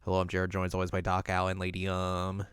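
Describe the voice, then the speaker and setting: A man's voice: sits low, completely flat delivery, fast, fairly bright tone, smooth, no echo, clean studio sound. A man hosting a podcast in a casual, chatty style. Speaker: podcast host. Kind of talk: casual chat about video game films.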